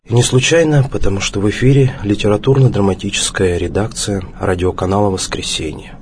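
A man presenting a solemn radio program as host, in a measured, formal way with deliberate phrasing. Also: lowish voice, slight background noise. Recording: music behind the voice. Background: background music.

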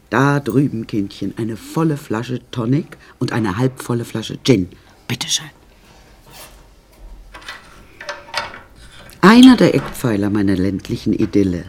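An older woman in a radio drama, recounting a grim life with dry, imperious wit. She takes her time over each phrase and swings widely in pitch, confiding and theatrical. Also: slight background noise, full character voice. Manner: wry, theatrical, drawn-out confiding delivery. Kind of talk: radio drama first-person narration.

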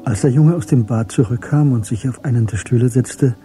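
A man narrating a scripted radio drama in a measured, detached storytelling manner. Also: mid-range voice, mellow, soft background music.

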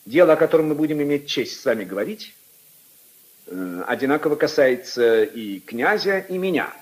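An actor playing a haughty, indignant aristocratic prosecutor in a pompous, emphatic 1950s radio drama style. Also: male voice, fairly high pitch, animated, moderate pace. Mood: stiff, formal. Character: haughty, indignant aristocratic assistant prosecutor, brother-in-law of a prince. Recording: 1950s archive radio recording.

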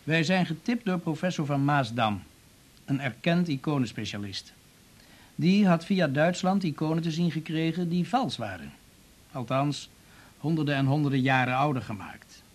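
A slightly high male voice, a police detective. He is official and matter-of-fact, laying things out step by step in radio play style. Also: animated, moderate pace. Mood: official, explanatory. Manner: methodical, official. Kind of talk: Dutch radio drama acting.

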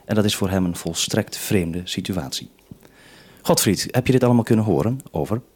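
A male radio presenter with a low voice talks in an explanatory way. He addresses listeners and interviews as he goes. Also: expressive, fairly quick, a little mellow, breathy edge. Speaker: radio host.

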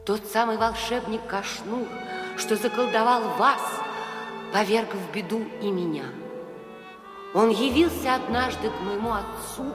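An actress playing a sad, courtly enchanted owl princess in an audio fairy-tale drama, telling her tale with solemn theatricality. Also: a little high. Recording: echoey reverberant hall, music underneath.

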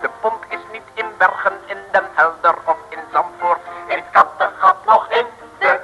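A man's voice: high-pitched, wildly swooping pitch, moderate pace, bright, forceful tone. A man singing the narration of an old radio serial as storyteller. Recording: dull old radio recording with music.

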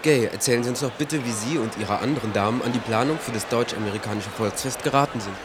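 A man's voice: medium pitch, quick pace, heavy background noise, lightly acted. Formal male radio host played by an actor. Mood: formal, welcoming. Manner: formal, announcer-like. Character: courteous radio host at a live fair broadcast. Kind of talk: mock live radio presenting.

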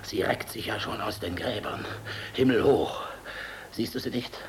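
An actor playing a defiant, world-weary middle-aged general in a German radio drama, sardonic, brooding and biting. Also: male voice, on the low side, unhurried, natural voice. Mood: haunted, brooding. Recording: clearly noisy radio drama recording.

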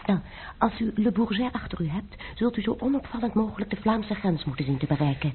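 A woman's voice: mid-range voice, a little fast, slight background noise, close to an everyday voice. An actress playing a secretive young French lady who gives a man urgent, precise orders in a radio drama, on an old tinny recording.

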